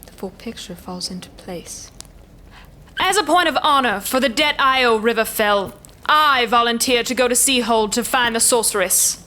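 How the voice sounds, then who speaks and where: A woman's voice: a little high, animated, moderate pace, bright, forceful tone. A woman playing a noble elven commander in a fantasy audio drama, speaking in formal, stately oratory. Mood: calculating, composed.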